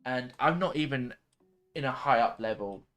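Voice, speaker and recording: A man talks casually as a livestream co-host. His pitch is average and his phrasing is hesitant and loose. There is faint music in the background. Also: animated, moderate pace, fairly bright tone. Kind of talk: casual chat.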